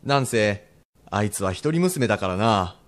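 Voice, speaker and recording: Low-voiced, blunt Edo shop master played by a man. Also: lively intonation, normal speed, close to an everyday voice. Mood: frank, easygoing. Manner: rough, casual, frank. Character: blunt ex-rake master of an Edo kimono store. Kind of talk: period radio drama acting.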